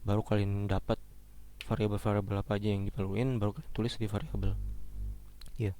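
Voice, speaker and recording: A young man with a slightly hoarse voice, teaching a recorded programming lesson in a casual, halting way with many fillers and restarts. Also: lowish voice, flat delivery, warm tone. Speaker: programming lecturer. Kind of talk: screen-recorded coding lesson.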